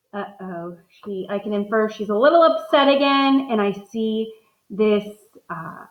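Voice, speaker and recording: Teacher thinking aloud over a picture book. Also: female voice, fairly high pitch, echoey room, muffled recording. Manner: thinks aloud, modeling inferences for students.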